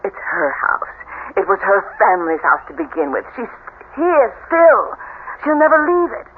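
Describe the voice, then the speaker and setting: An actress playing an anxious, easily frightened new bride in a radio drama, halting and pleading. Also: female voice, mid-range voice, sing-song, natural voice. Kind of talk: 1970s radio drama acting. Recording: tinny, noisy old radio recording.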